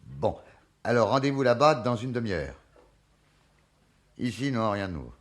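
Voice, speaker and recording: An actor playing a dogged, touchy police detective in a French radio play. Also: male voice, on the low side, very animated, normal speed. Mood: brisk, questioning.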